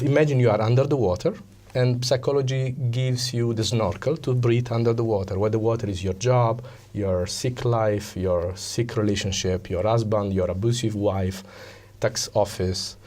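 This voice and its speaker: An Italian man with an Italian accent, explaining things as an interview guest in a conversational but halting way, often pausing to search for words. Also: medium pitch, a little mellow. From Italy. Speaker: interview guest, psychology coach.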